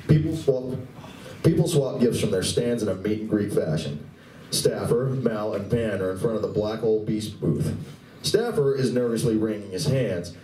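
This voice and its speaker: A man's voice: lowish voice, warm tone, slight background noise, close to an everyday voice. A man reading scripted narration for a live radio play, recorded at a distance in an echoey room. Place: large indoor room at a convention.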